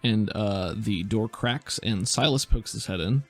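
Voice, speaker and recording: A man's voice: lowish voice, unhurried, slightly rough, faint music behind. A man working as game master, narrating a tabletop role-playing game in a casual, improvised way.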